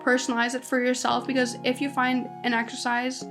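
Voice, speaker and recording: A woman's voice: high voice, monotone, brisk, music in the background. A woman in her twenties hosting a podcast alone, talking casually and rambling with lots of "like"s and restarts. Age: 20s. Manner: chatty, rambling, many "like"s and restarts. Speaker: podcast host. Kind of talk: casual solo podcast monologue. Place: indoors at home.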